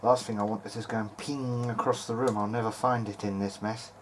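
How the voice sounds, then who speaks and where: A man's voice: fairly low pitch, fairly even intonation, a little fast, slight background noise. A man informally talking through a hands-on repair in a video diary, hesitant and half muttering to himself as he works. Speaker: vintage hi-fi hobbyist narrating own repair video.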